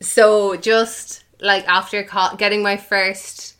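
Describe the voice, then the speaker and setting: A woman's voice: medium pitch, flat delivery, takes it slowly, fairly bright tone, clean tone. A woman in her twenties with an Irish accent, a native Irish speaker, chatting casually as a podcast guest and laughing often at herself. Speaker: anonymous guest.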